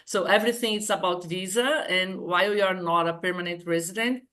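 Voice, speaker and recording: A woman's voice: fairly low pitch, fairly quick, fairly bright tone. Brazilian woman in her fifties. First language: Portuguese. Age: 50s. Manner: hesitant, explains step by step. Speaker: guest. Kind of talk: casual online interview.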